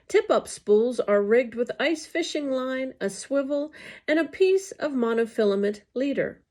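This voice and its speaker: A woman reading scripted educational narration aloud in a deliberate, enunciated way. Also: high voice, animated, a little mellow.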